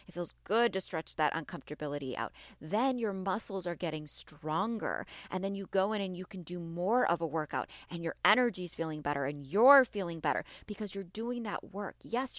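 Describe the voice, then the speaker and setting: Woman podcast host, a bit raspy, lowish voice, dry close sound; encouraging, repeats herself for emphasis. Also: no echo. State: slightly raspy from allergies and screaming. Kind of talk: motivational podcast talk.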